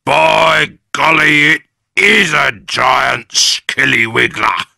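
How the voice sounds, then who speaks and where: Bloke doing a mean old geezer, drawling slow, a bit higher than his own voice. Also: fairly even intonation, vocal fry, bright, forceful tone, no echo, studio quality, heavily acted. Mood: sneering. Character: grumpy nasty old man, scheming.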